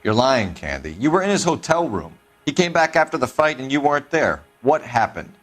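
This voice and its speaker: An actor playing an earnest, persistent 1940s American police detective in a crime game, with formal, clipped questioning. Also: male voice, mid-range voice, big pitch swings, fairly quick. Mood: pressing. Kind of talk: video game acting, period crime drama. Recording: music behind the voice.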